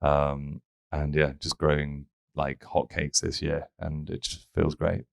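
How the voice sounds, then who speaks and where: Male interview guest, English accent, very low voice, fairly even intonation, loose conversational storytelling. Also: a little slow, creaky, no echo. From Czech Republic. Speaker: interview guest, tech founder.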